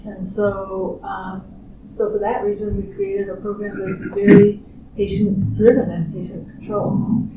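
A woman's voice: mid-range voice, level tone. Thoughtful older woman, distant roomy recording. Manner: measured, thoughtful, with frequent pauses and fillers. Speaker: researcher. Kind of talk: interview answers. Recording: roomy, distant, muffled recording with clear background noise.

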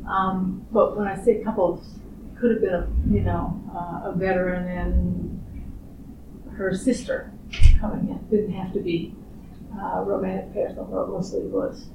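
A woman's voice: medium pitch, little pitch movement. Thoughtful older woman, distant roomy recording. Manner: measured, thoughtful, with frequent pauses and fillers. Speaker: researcher. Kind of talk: interview answers. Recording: roomy, distant, muffled recording with clear background noise.

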